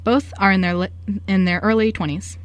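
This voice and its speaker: A woman's voice: medium pitch, fairly even intonation, fairly quick, no room sound. A young woman doing amateur scripted reading as a narrator and poetry reader.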